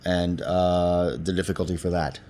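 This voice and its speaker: A man's voice: low voice, level tone, normal speed, dark tone. A man chatting casually as a player in a tabletop roleplaying game.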